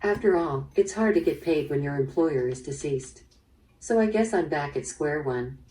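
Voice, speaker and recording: A woman playing a probing, businesslike police detective in an interactive radio drama, measured in her questioning. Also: low voice, lively intonation, warm tone, slight background noise. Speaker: voice actor in interactive audio drama. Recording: played through speaker, slightly distant.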